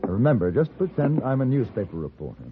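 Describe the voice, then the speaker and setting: An American man playing an urbane, unflappable gentleman gunfighter in a radio western, speaking with cool, precise, wry formality. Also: medium pitch, sing-song, quick pace, faint music behind. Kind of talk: old-time radio western acting. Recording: tinny, noisy old radio broadcast.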